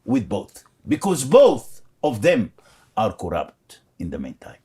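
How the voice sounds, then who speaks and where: Animated Arabic-accented man. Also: mid-range voice, slow pace, husky. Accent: Palestinian Arabic. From Gaza. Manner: emphatic, repeats words, animated. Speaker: guest. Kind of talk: conversational interview.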